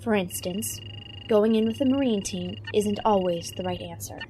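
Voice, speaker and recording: A blunt, defensive starship intelligence officer acted by a woman, with a medium-pitched voice. The delivery is that of science-fiction audio drama, over faint background noise. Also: normal speed, lightly acted. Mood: deadpan. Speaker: voice actor in fan audio drama.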